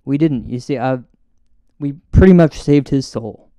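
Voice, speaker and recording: A man hosting and narrating a scripted comic horror story in a deadpan, mock-solemn way. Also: medium pitch, unhurried, dry recording. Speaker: host and storyteller. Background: music.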